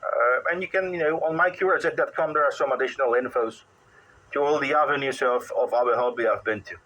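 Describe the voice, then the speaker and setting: A middle-aged man with a Polish accent, chatting casually as an amateur radio operator in a halting, wry, rambling way. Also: mid-range voice, pushed and bright, poor audio.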